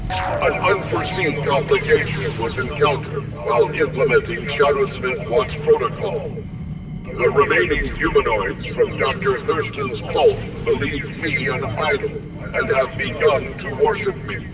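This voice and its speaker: An actor playing a literal-minded, dutiful robot guardian in a video game, speaking in clipped, formal, procedural reports. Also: male voice, on the high side, wildly swooping pitch, measured pace, pauses often. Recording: echoey reverb, distant, loud music behind.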